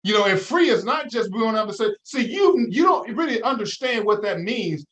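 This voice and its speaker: High-voiced emphatic middle-aged man. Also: animated, normal speed, a bit bright. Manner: emphatic, preacher-like, asks many rhetorical questions. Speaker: investment advisor, guest. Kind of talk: interview, motivational talk.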